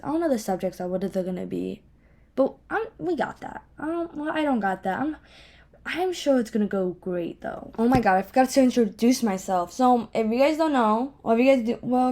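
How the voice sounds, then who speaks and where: Young woman, slightly high voice, speech impediment, casual solo podcast chat, candid, self-deprecating, rambling. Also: a little mellow. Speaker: podcast host. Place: home office.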